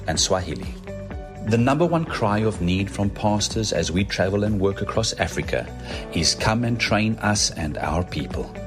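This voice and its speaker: A man giving a measured, formal scripted narration for a ministry video. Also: on the low side. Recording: voice-over with background music.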